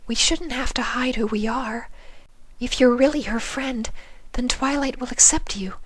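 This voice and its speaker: A man voicing a timid, gentle young female pegasus pony in a soft, breathy falsetto with hesitant phrasing. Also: a little slow, no room sound, heavily acted. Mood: gentle, earnest. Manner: hesitant, faltering.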